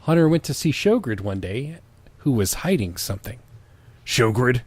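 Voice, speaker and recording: Guy reading a goofy story and riffing, wry n sweary, normal pitch but really animated. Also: fairly quick, slightly rough. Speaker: co-host and reader. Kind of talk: comedic reading with casual riffing.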